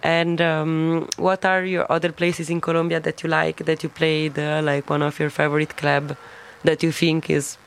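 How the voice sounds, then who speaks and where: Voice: medium pitch, little pitch movement, moderate pace, gravelly, hardly any pauses, smooth. An interviewer with an Italian accent asking a DJ questions in chatty, encouraging English full of likes and small laughs. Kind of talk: casual interview.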